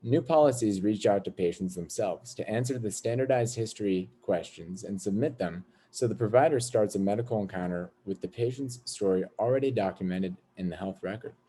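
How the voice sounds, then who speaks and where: A man's voice: lowish voice, fairly quick, few pauses, warm tone. A man on an educational panel giving a scripted, formal explanation. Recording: clearly noisy background.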